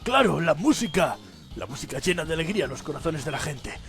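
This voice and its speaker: A woman's voice: deep voice, sing-song, a little fast, over music, voice pushed down. A woman voicing a naive, melodramatic cartoon heroine in a mocking parody skit. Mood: mock earnest.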